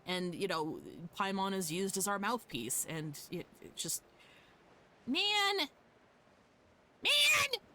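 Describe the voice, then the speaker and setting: A woman's voice: mid-range voice, big pitch swings, slow pace, pauses often, sharp tone, slight background noise. A woman livestreaming a game, giving casual, rambling, emphatic commentary and often repeating her phrases. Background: game music.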